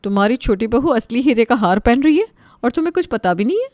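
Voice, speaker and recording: A woman's voice: a little high, very animated, fast talker, darkish tone, dry recording, clean studio sound, voice pushed up. A woman voicing a stern, meddling older aunt who scolds indignantly in a family story.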